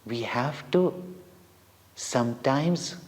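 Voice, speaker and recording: Slow-speaking Indian man in a reverberant hall. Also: medium pitch. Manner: deliberate, dramatic, with short pauses between phrases. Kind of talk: devotional storytelling for children. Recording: long reverberant hall echo.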